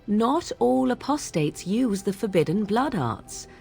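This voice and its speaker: A woman's voice: mid-range voice, animated, measured pace, gravelly, a little mellow, soft background music. A woman playing a haughty young witch in a video game, speaking in archaic, precise, aloof phrases. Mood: firm, guarded. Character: haughty young apostate witch, a shapeshifter of the wilds. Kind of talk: video game dialogue acting.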